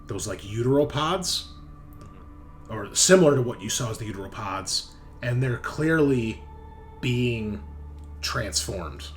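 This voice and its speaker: A man narrating a sci-fi horror tabletop game as game master, speaking haltingly and thinking aloud with pauses. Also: mid-range voice, expressive, soft background music.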